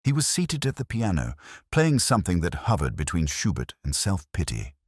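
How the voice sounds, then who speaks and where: A man reading a scripted first-person detective story in a measured, literary, wry manner. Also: sits low, wildly swooping pitch, slightly rough, no echo, clean studio sound. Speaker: narrator.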